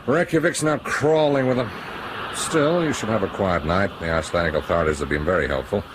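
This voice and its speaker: A man's voice: low-pitched, a little fast, lightly acted. An actor playing a brusque, self-justifying man in a radio drama. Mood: matter-of-fact. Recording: radio drama with faint background noise.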